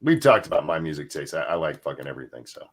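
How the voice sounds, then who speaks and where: A man chatting casually in a blunt, deadpan way. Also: rumbling low, fast talker. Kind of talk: casual chat.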